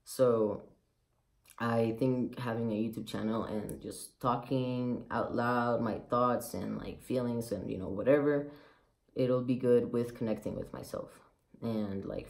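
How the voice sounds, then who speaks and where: A man's voice: medium pitch, creaky, darkish tone. A man of about twenty, raised in Mexico, with a light Mexican Spanish accent, talking candidly and rambling with many fillers in a casual personal vlog. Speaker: vlogger.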